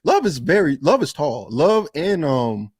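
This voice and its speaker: Sex: man. Role: panelist. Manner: insistent, repeats phrases. Pitch: fairly high. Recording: dry. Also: big pitch swings, measured pace, a little mellow, slightly rough, no echo. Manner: insistent, repeats himself to hold the floor. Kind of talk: casual group talk.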